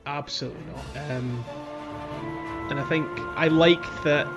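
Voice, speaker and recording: A man co-hosting a casual video game podcast, recapping with animated, dramatic emphasis. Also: fairly high pitch, unhurried, vocal fry. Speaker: podcast co-host. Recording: music playing under the voice. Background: background music.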